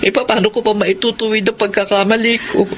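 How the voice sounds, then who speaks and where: A woman's voice: mid-range voice, little pitch movement, fairly quick, noisy background, lightly acted. A woman playing an emotional, earnest middle-aged mother who works abroad, in Filipino radio drama style. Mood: regretful.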